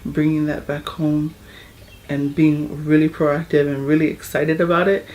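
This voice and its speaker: A woman's voice: sits low, little pitch movement, normal speed, darkish tone, dull audio, slight background noise. A middle-aged woman, a mother speaking casually and frankly in an interview, with many "you know"s. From Hawaii. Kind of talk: testimonial interview.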